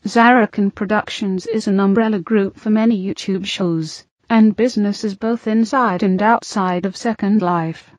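A woman reads a scripted promotional announcement in a mid-pitched voice. The sound is dry, with no room around it, like an end-credits voice-over. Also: fairly even intonation, a little slow, hardly any pauses, no echo.

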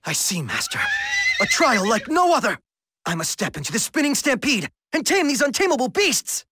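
An actor playing an eager, earnest young martial arts apprentice in a cartoon video game, melodramatic and reverent. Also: male voice, extremely high pitch, big pitch swings, moderate pace, pushed and bright, slightly rough, no room sound, studio quality, exaggerated voice. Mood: awed, dramatic.

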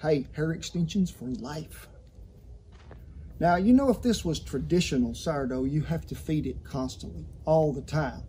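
Mellow, folksy Southern American cowboy cook. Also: male voice, on the high side, measured pace, a little hiss or hum. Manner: folksy cowboy storytelling with colorful sayings. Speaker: cooking show host. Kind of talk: folksy cooking tutorial to camera. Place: outdoor patio kitchen in a yard. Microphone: lavalier clipped to shirt.

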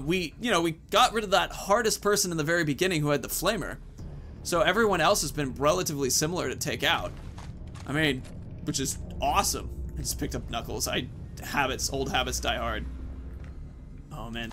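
A man's voice: a little high, expressive, normal speed, a bit bright, a little hiss or hum. A man in his thirties giving casual let's-play commentary, rambling informally as he thinks aloud into a headset. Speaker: gaming video host.

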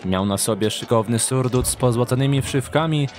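A man reads dramatic, scripted story narration for an audio drama. His voice sits at a medium pitch, with music clearly audible underneath. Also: fairly quick, a little mellow.

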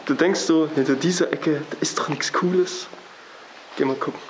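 A man's voice: fairly high pitch, fairly even intonation, moderate pace, slight background noise, low-quality recording. A man giving casual, on-the-spot commentary as he explores inside a derelict building. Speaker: urban explorer and presenter.

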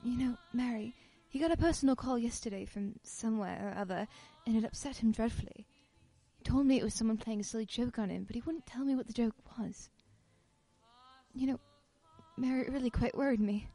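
A woman's voice: fairly high pitch, brisk, warm tone. A woman acting an anxious, polite society wife in a radio play. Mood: worried.

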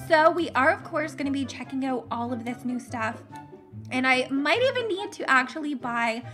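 A young woman chatters bubbly and gushing over a game, her high voice a little worn because she is losing it. Gasps and giggles slip between her lines. Upbeat background music plays clearly beneath her. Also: expressive, moderate pace, bright, forceful tone. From Canada. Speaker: gaming channel host. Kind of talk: casual game commentary.

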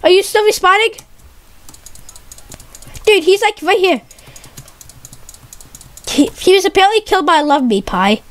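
A woman giving excited live commentary on a game she is playing with a friend, repeating her warnings and saying "dude" again and again. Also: very high-pitched, unhurried, pauses often. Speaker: YouTube gamer.

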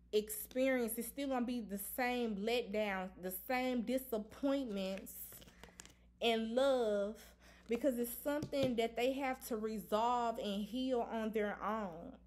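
A woman giving a casual tarot reading to camera, blunt and emphatic, repeating her points and swearing freely. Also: high voice. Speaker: tarot reader. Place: indoor room at a table.

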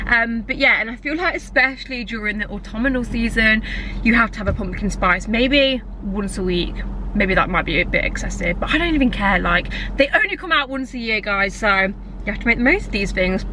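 A woman's voice: mid-range voice, a bit bright, a little hiss or hum, rough recording. A young woman from England, vlogging in a chatty, gushing way to a camera held at arm's length, sounding nasal from a blocked nose. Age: young adult. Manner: chatty and gushing, talks while eating. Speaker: lifestyle vlogger. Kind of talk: casual chat to camera. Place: parked car, then a home kitchen. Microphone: camera mic at arm's length.

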